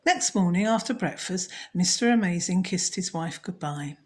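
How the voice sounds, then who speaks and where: A woman storyteller narrating a children's story in a measured, scripted read. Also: on the low side, animated.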